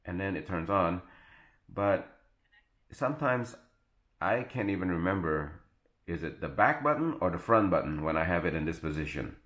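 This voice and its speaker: A man with a low-pitched voice talks through a product review in a casual, off-the-cuff style. He explains step by step, often halting and correcting himself. The sound is distinctly roomy and echoey. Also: lively intonation, a bit bright. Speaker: tech gadget reviewer.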